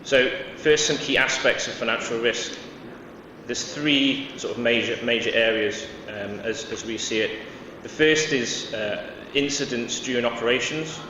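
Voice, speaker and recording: A man's voice: medium pitch, normal speed, recorded from a distance. Welsh-born guy giving a talk, lots of ums, big echoey hall, kinda muffled and noisy. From Wales. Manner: hesitant, many fillers and restarts. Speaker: conference speaker. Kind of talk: conference presentation. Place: large conference hall.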